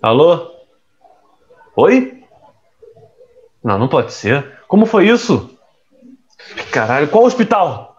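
A man's voice: fairly high pitch, very animated, takes it slowly, long pauses, muffled recording. A young man playing an evasive, troubled rich playboy in an audio drama. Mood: alarmed. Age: young adult. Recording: music under the voice.

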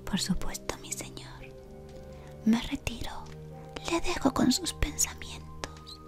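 A woman in her thirties whispering an ASMR roleplay as a devoted servant of a dark lord, softly and deferentially, close to the mic. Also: high-pitched, expressive, slow pace, over music. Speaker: ASMR creator. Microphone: close to camera.

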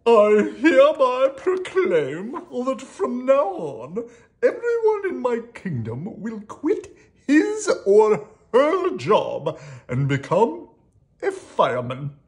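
A woman voicing an excitable, pompous king in a children's storybook, with theatrical, drawn-out exclamations. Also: fairly high pitch, voice pushed up, heavily acted. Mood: grand proclaiming.

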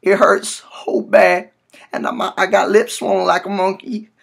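High-pitched young man put on by a prankster, whiny, pleading, stammering, naive, frantic with pain. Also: level tone, a little slow, gravelly, fairly bright tone, breathy edge, heavily acted. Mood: distressed, whiny. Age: 20s. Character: hurt, frantic, naive young man with bleeding swollen lip. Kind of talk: prank phone call. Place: small room indoors, at a desk.

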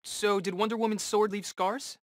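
An actor playing a cocky, eager young rookie superhero in a video game, bantering in casual, wisecracking slang. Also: male voice, high-pitched, fairly even intonation, normal speed, lightly acted. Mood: confident, taunting. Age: young adult.

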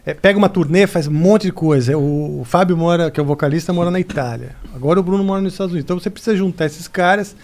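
A man's voice: high-pitched, racing, hardly any pauses, a little mellow. A man in his forties, a guest musician, telling jokey stories in a casual podcast chat in a studio. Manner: storytelling, joking. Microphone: studio mic on boom arm.

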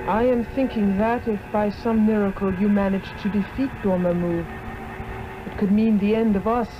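A woman's voice: mid-range voice, steady tone, moderate pace, background noise, natural voice. An actress playing a noble, enslaved woman from another world in a 1960s radio drama, speaking in a formal, grave manner. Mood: solemn warning. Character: noble otherworldly enslaved woman, solemn and warning. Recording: muffled old radio recording with music.

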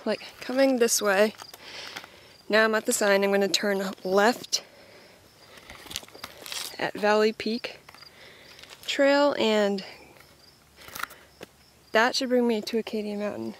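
A woman's voice: mid-range voice, steady tone, slow, pauses often, a little hiss or hum. A young woman reporter chatting casually as she hikes a trail, giving offhand tips to the viewer. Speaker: newspaper reporter. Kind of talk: casual hiking vlog. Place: outdoors on a forest trail, some lines in a car.

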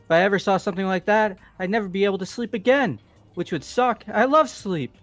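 A man playing an excitable, cowardly young slacker in a video game, whiny and defensive. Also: high-pitched, a little fast. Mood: self-pitying. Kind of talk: video game character voice-over. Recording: music bed behind voice.

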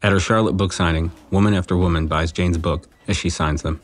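A man's voice: sits low, fairly even intonation, a little fast, lightly acted. A man narrating scene action in a matter-of-fact way for a comedy audio drama.

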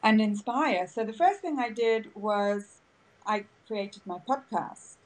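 A woman's voice: mid-range voice, expressive, slow pace, rough recording. A woman podcaster answering interview questions in an upbeat, storytelling way over a video call. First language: English. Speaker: guest, podcaster.

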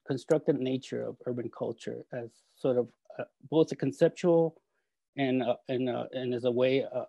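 A man in his forties from El Salvador, an artist talking over a video call from his studio, halting with many fillers and restarts. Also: mid-range voice, creaky, mellow, dry recording. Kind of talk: video call talk with slides. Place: home art studio. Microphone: earphone mic on video call.

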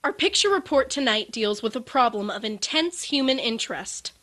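A female movie reporter in the scripted style of 1950s radio. Her delivery is polished and gushing, in a fairly high voice with a dry recording. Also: lively intonation, moderate pace, a bit bright, no room sound.

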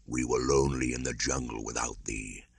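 Man as a deep-voiced, affectionate panther. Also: moderate pace, gravelly, husky, dry recording, clean studio sound, heavily acted. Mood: quiet affection.

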